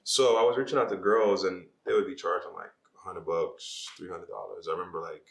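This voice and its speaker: A man's voice: low voice, steady tone, a little fast, vocal fry, reverberant. A young man from Jamaica, a podcast guest, talking casually and rambling about his start on social media. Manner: laid-back, rambling with many "like"s.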